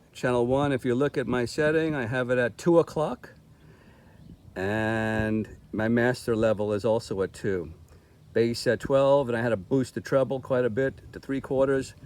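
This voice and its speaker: A man's voice: mid-range voice, a little mellow. A man giving a casual, plainspoken gear review vlog outdoors in a park, explaining things as he goes.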